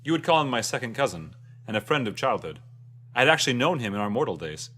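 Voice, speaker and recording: A man voicing an ageless, wistful immortal who tells a first-person fantasy tale in a solemn, measured way. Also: medium pitch, sing-song, fairly bright tone. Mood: wistful, admiring. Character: ageless, wistful immortal recounting an old jealous friendship.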